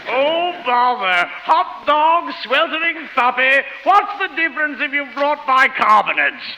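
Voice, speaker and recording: An actor playing a fussy, pompous, bewildered British professor with flowery wording, in 1930s radio comedy. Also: male voice, very high-pitched, sing-song, a little slow, sharp tone, full character voice, low-quality recording. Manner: pompous, flowery wording, exclaiming in mock dismay. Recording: hissy 1930s radio broadcast.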